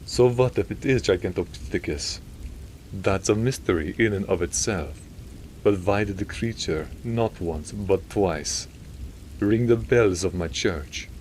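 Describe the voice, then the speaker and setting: A man's voice: low-pitched, unhurried, a little mellow, slight background noise, pitched above the performer's own voice, low-quality recording. Man acting a wry, teasing priest, slightly raised. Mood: questioning. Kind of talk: audiobook character dialogue.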